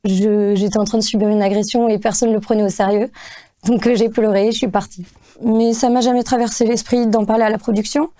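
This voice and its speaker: A young woman giving interview testimony, hesitant with frequent fillers and pauses. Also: mid-range voice, steady tone, mellow.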